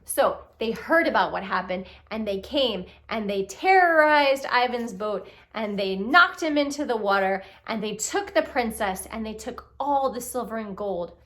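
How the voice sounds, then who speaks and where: A woman telling a children's puppet story in an expressive way, speaking directly to young listeners with questions and exclamations. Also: fairly high pitch, unhurried, few pauses. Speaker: storyteller, puppet show reader.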